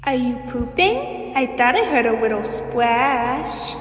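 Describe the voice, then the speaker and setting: A woman's voice: a little high, very animated, takes it slowly, crowd noise. A woman playing a lonely, childlike little ghost girl who pleads sweetly in a radio play. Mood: lonely, innocent. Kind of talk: amateur radio play acting. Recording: echoey reverberant room with faint noise.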